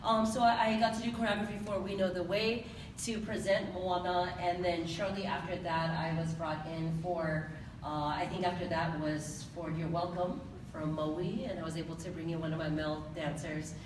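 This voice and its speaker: A woman from the Pacific Islands, a choreographer and dance teacher, talking loudly like a teacher during a live event interview. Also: fairly low pitch, level tone, moderate pace, hardly any pauses. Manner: loud, laughing, teacher-like. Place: large echoey event hall. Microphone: distant handheld phone recording. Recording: echoey hall from far off, noisy.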